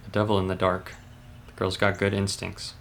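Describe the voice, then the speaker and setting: A man playing a composed, sceptical hospital doctor in a horror manga dub, measured and clinical, with a gravelly voice. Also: deep voice, monotone, a little hiss or hum. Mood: musing.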